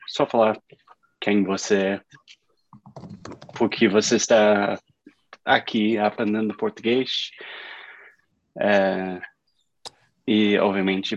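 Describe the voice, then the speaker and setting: A man leading a language class over a video call, speaking slowly and encouragingly to the learners. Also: lowish voice, gravelly, dull audio. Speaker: language teacher running the class. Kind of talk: casual class conversation.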